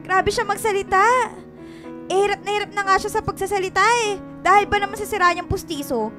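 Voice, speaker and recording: A woman's voice: very high-pitched, steady tone, fast. A young woman with a Filipino accent playing a sassy, dramatic comic friend in a radio drama. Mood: indignant, mocking. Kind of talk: Tagalog radio drama acting. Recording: music clearly under the voice.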